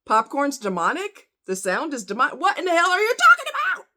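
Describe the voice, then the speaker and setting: A woman's voice: high voice, huge pitch swings, a little fast, pushed and bright. A woman vlogger chatting casually to her audience in a rambling, conversational way with frequent asides. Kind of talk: casual chat to camera.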